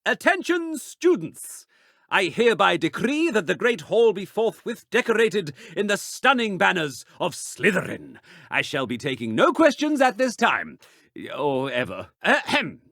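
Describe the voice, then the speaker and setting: A woman's voice: medium pitch, sing-song, unhurried, fairly bright tone, no echo, clean studio sound, pitched below the performer's own voice, exaggerated voice. A woman putting on a pompous, self-important voice as a mock official making grand announcements in video game voice lines.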